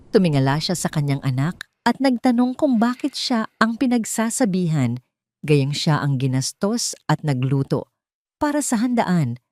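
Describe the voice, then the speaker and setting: A woman with a Filipino accent narrating a scripted dramatic story in a measured, expressive storytelling manner. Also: low voice.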